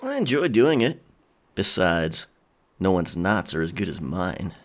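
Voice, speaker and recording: A man's voice: low-pitched, sing-song, unhurried. A man playing a cocky, teasing, self-assured sailor in a pirate romance audio drama, with a nonchalant manner. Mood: confident, casual. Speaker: voice actor.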